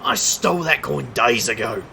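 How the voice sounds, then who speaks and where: A cocky trickster detective played by a man in an audio drama, his voice heavy with gravel and edged bright. He works like a street magician, wry and theatrical, with showy patter over faint background noise. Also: mid-range voice, animated, normal speed, full character voice. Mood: cocky, taunting. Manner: showman's sing-song patter, wry and theatrical. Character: male street magician private investigator, cocky, wisecracking trickster. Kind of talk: scripted audio drama acting.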